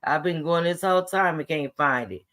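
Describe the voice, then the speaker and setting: A raspy-voiced woman calls in to a talk show. She speaks bluntly and casually, with plenty of slang, and stands firmly by her opinions. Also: sits low, moderate pace, a bit bright. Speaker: caller. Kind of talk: casual phone-in chat.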